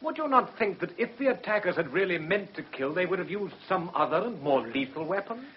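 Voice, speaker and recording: A man's voice: sits high, big pitch swings, a little fast, a little hiss or hum. A man playing a sceptical British defence barrister in a radio drama, cross-examining with polite but pointed challenges. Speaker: actor. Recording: muffled vintage radio drama recording.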